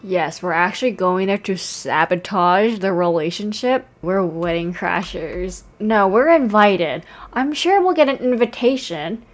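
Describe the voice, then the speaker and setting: A woman's voice: medium pitch, a little slow, few pauses. A young woman giving casual, sarcastic and playful commentary as she plays a game. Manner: playful, sarcastic, mimics characters in mocking quotes. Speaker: streamer.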